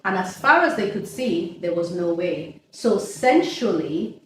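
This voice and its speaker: A woman's voice: fairly low pitch, sing-song, a little slow, fairly bright tone. Echoey, distant fifty-something woman. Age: 50s. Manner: reflective, laughs often, restarts mid-thought. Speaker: podcast host. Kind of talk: casual faith conversation. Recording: echoey room, distant from mic, faint noise.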